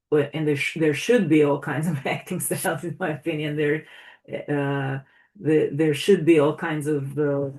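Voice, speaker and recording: Halting book launch host on a video call. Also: mid-range voice, darkish tone. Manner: halting, many uhs and restarts. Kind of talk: casual discussion. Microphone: computer mic on a video call.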